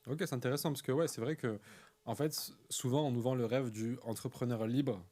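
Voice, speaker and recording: A young man hosting an interview, coaching in e-commerce, speaking with an energetic, punchy manner that repeats words for rhythm. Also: medium pitch, brisk.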